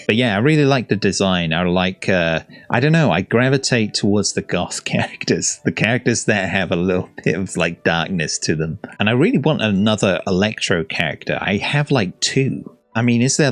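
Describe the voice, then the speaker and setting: A man's voice: deep voice, no room sound. A man hosting a casual reaction video, talking in a rambling, hesitant way as he thinks aloud. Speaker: YouTube reaction host.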